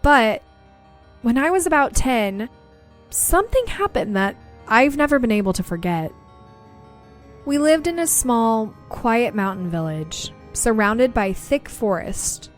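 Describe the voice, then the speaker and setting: Young woman, podcast host, slightly high voice, chatty and casual, faint music behind. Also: lively intonation, unhurried. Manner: chatty, laughs easily, reads stories aloud. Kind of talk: casual chat and reading listener stories.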